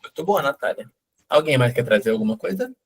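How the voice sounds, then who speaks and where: Husky man moderating a call. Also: mid-range voice, expressive, a little fast. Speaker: group moderator. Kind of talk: casual moderating. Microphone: video call.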